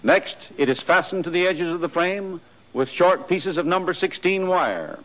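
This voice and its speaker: An American man with a fairly high-pitched voice reads scripted instructional narration in the manner of a 1940s training film. His delivery is formal and precise, setting out each point matter-of-factly. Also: expressive, normal speed, fairly bright tone, low-quality recording.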